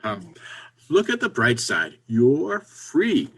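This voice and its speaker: Very animated, husky, pushy businessman played by a man. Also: medium pitch, slow pace, heavily acted. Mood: coaxing, upbeat. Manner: pushy, exasperated, emphatic. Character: brisk, pragmatic businessman friend, impatient but encouraging. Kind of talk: stage comedy readers theater.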